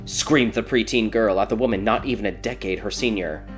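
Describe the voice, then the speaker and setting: Man narrating a dark tale over music. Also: on the low side, a little fast. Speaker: audiobook narrator. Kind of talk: scripted dramatic story narration.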